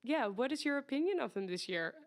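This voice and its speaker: A young woman with a Dutch accent hosting a podcast, chatty and quick to laugh. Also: high voice, expressive, noisy background. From Netherlands. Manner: chatty, laughs often, filler-heavy. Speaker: podcast host. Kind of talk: casual chat.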